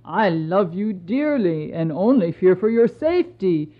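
Woman playing a slow, stately storybook emperor. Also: on the low side, expressive. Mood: tender. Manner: measured, grave, formal. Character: stern yet tender male emperor, stately. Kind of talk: dramatised folktale acting. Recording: dull lo-fi recording.

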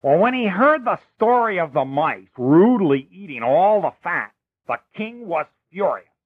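A man's voice: high voice, big pitch swings, normal speed, husky, heavily acted. A man playing a kindly older godfather who narrates a fairy tale in a theatrical, playful way. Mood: warm. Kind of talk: storybook narration. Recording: tinny old lo-fi recording.

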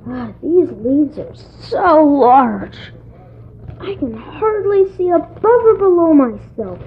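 A woman's voice: high-pitched, big pitch swings, slow pace, slight background noise, full character voice. A woman voicing Jack, an earnest, hopeful young boy, in an old radio-style drama. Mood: wondering. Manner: earnest, eager boyish delivery. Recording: tinny phone-like old recording.

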